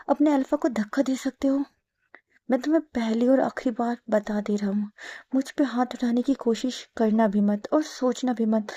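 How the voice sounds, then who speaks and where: A man's voice: very high-pitched, mellow, no echo, muffled recording. A woman voicing a harsh, domineering husband who threatens his partner in a storybook tale. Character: cruel, domineering young husband, harsh and violent.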